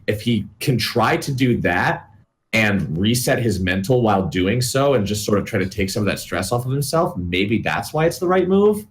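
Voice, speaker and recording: A man's voice: fairly low pitch, lively intonation, moderate pace. A man co-hosting a podcast, talking earnestly in a casual analytical chat, hedging often with filler words.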